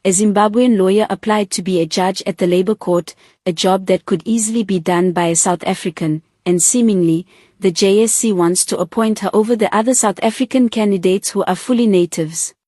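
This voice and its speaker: A woman's voice: medium pitch, fairly even intonation, brisk, dark tone, dry recording. Dry-sounding woman narrating news commentary. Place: close, dry voice-over.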